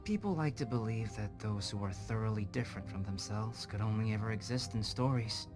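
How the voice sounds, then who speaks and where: A low, composed young man, as a male actor plays him, speaking like a thoughtful alchemist in a fantasy game. He explains things in measured, articulate phrases, with prominent music playing behind him. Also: close to an everyday voice. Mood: calm, explanatory. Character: calm, scholarly young male alchemist, artificially created human. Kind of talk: scripted English video game voice acting.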